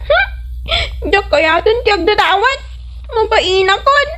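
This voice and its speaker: High-pitched whiny little daughter. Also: female voice, animated, takes it slowly, a bit bright, heavily acted. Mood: whiny, embarrassed. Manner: whiny, protesting. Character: reluctant, whiny young daughter sent out begging. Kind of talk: comedy radio drama acting.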